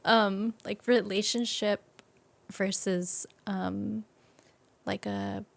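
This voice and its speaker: A woman's voice: mid-range voice, steady tone, very slow, gravelly, dry recording. A woman, a young adult, talking reflectively and casually as an interview guest.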